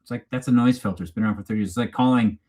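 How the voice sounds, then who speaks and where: A man with a Canadian accent and a fairly low voice hosts a loose live chat. He talks off the cuff in an informal, rambling way, with a teasing streak. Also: very fast, darkish tone. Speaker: livestream host. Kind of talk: casual unscripted chat, reading live comments.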